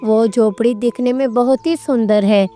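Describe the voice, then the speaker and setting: A woman's voice: fairly high pitch, steady tone, moderate pace, dark tone, soft background music. A woman narrating a scripted devotional story as a storyteller.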